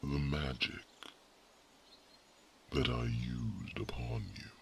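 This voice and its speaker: A man voicing a wise, devoted male mage who reads minds, speaking in a soft, formal whisper for an ASMR roleplay. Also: on the low side, sing-song, slow, gravelly, slight background noise, exaggerated voice. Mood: tender.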